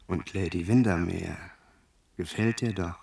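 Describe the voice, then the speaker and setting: An actor playing a worldly gentleman confidant in a German radio drama, amused and chiding, with a drawling, theatrical delivery. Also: low voice, a little slow, creaky.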